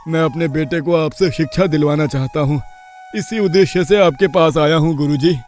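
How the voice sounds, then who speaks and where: A poor village father acted out by a man, humble and deferential, with an earnest pleading manner. His voice sits fairly high, over soft music in a cartoon moral story. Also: moderate pace, lightly acted.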